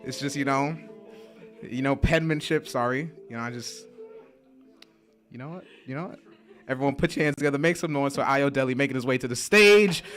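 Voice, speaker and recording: A man emcees an open mic night in a small indoor venue, talking into a stage mic. He chats loosely, with quick apologetic asides between introductions. Music plays clearly behind him. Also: medium pitch, a bit bright. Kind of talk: casual stage hosting.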